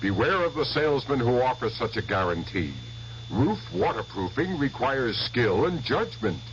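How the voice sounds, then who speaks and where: A man's voice: mid-range voice, sing-song, moderate pace, slight background noise. A man delivering a helpful public service announcement on the radio. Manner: helpful, advising. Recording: dull old radio spot over music.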